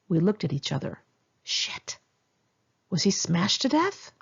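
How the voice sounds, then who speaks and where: An American woman with a lowish, rough-edged voice narrates her own story. Her delivery is candid and dramatic, swinging freely in pitch like someone telling friends a tale. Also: darkish tone, muffled recording. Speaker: author reading own memoir. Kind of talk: first-person audiobook narration.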